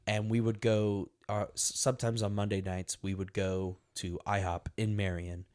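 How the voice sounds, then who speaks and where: A man's voice: fairly low pitch, flat delivery, moderate pace, darkish tone, smooth. A young man co-hosting a casual podcast, chatting loosely, laughing often and restarting his sentences. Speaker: podcast co-host. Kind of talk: casual chat.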